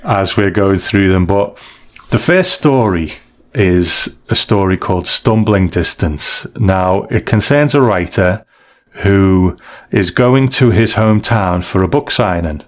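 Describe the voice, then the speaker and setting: Man, low voice, casual podcast host, conversational storytelling. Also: expressive, slow pace.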